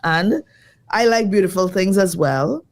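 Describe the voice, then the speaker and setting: Woman, design educator, slightly croaky, lively and thoughtful, interview answers. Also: medium pitch, unhurried. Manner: lively, thoughtful, many fillers. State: croaky from jet lag.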